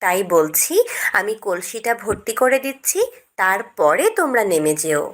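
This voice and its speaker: A woman voicing a naive, superstitious elder sister in an Islamic cartoon, explaining things in an animated way. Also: medium pitch, normal speed, lightly acted. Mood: confident, cautioning.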